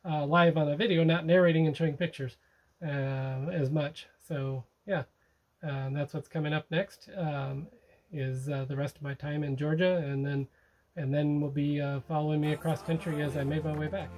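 This is a middle-aged man talking straight to a close camera from inside a small motorhome. He looks back on a trip in an easygoing, rambling way, stopping on fillers and restarting his sentences. His voice sits a little higher than usual for a man. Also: steady tone, normal speed, a little mellow. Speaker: sailing vlog host. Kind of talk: casual narrated recap to camera.

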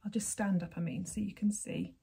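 A woman's voice: medium pitch, fairly even intonation, a little fast, gravelly, warm tone, slightly rough. A woman in her thirties from England, chatting casually to camera as host of a knitting podcast from her living room, rambling and laughing often. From Berkshire, England. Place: sunny living room at home. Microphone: camera at desk distance.

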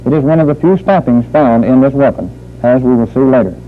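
A man working as a military instructor, narrating a training film in a formal, deliberate, measured manner. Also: mid-range voice. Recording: muffled phone-like old training film soundtrack.

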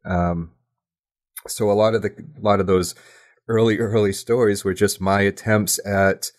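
A man with a deep voice talks as a guest in an interview. His style is casual and reflective. He comes across as modest and candid, feeling his way haltingly through his sentences. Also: steady tone. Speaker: podcast guest, audio drama writer.

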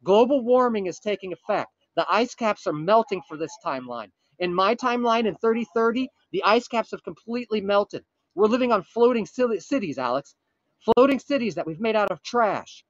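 Man playing a frantic high-pitched time traveler. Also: animated, no room sound, lo-fi sound. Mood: grave warning. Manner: urgent, pleading, halting with dramatic pauses. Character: frantic, earnest male time traveler from the far future. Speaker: prank caller. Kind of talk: improvised comic prank phone call.

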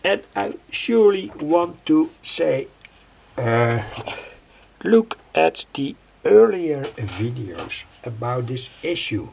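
An older man giving an unscripted hands-on tutorial in a halting, deliberate way, often repeating his phrases. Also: a little high, sing-song, darkish tone. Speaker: electronics hobbyist presenter. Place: indoors at a home workbench. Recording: clearly noisy home recording.

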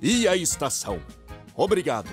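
A man's voice: fairly high pitch, big pitch swings, normal speed, faint music behind. A man voicing a stout, middle-aged railway boss in a children's cartoon, fussy and doubtful. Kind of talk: animated children's cartoon dialogue.

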